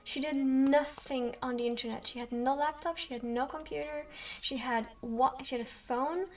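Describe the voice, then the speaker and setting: A young woman streamer chatting slowly in a soft, quiet voice, rambling with many ums. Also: high-pitched, steady tone. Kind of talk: soft-spoken livestream chat. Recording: distant from mic.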